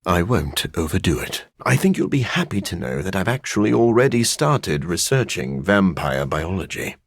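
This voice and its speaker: An actor playing a brooding, scholarly vampire in a video game, speaking formally with dry wit in a low, husky voice. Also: male voice, big pitch swings, normal speed, heavily acted. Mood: measured, grave.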